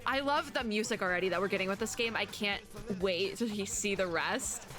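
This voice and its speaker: A woman doing casual reaction commentary as a VTuber, chatty, expressive and slangy. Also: mid-range voice, fairly quick, over music.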